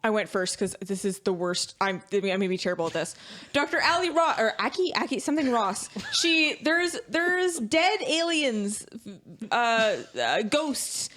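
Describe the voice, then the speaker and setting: A woman's voice: on the high side, expressive, measured pace, fairly bright tone. A woman co-hosting a podcast in casual conversation. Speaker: podcast co-host. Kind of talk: casual chat.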